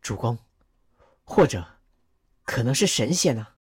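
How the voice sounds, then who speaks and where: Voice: mid-range voice, animated, unhurried, a little mellow, breathy edge. A performer voicing a learned male court advisor who explains a folk legend in a radio drama. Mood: explaining. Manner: explaining, storytelling.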